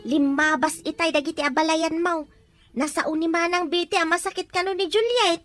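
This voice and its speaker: A woman's voice: very high voice, fairly even intonation, brisk, a bit bright, dry recording, soft background music. A woman playing a concerned, outspoken motherly village woman in an Ilocano radio drama, fretful and questioning, with an Ilocano accent. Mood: worried.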